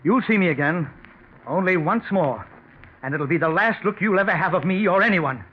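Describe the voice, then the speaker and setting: An actor playing an aging, cheated company president, wounded and bitter, in a 1940s radio drama. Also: male voice, sits high, lively intonation, normal speed. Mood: bitter, menacing. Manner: wounded, pleading turning to menacing. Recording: dull, noisy 1940s radio recording.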